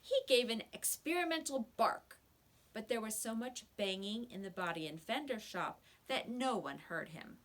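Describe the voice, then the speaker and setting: A woman reading a children's story aloud with expressive, scripted narration. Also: fairly high pitch, normal speed.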